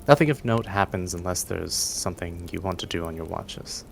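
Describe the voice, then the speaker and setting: A man's voice: sits low, normal speed, creaky, faint music behind. A man narrating a tabletop fantasy game as game master.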